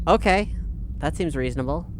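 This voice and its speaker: A man's voice: a little high, animated, moderate pace, slight background noise. A man playing a tabletop game, talking casually with an informal, wry and sweary manner.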